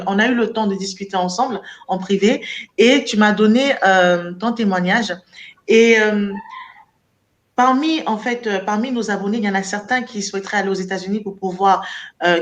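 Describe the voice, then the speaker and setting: A woman hosting a web interview show, introducing her guest and asking questions, often repeating and restarting words. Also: medium pitch. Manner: repeats and restarts words, warm religious phrasing. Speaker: host and founder of a web show. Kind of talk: interview host.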